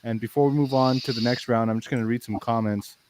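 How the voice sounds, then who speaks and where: A man's voice: mid-range voice, fairly even intonation, quick pace, darkish tone, a little hiss or hum. Casual, cursing livestream host man. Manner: casual, swears freely, reads comments aloud.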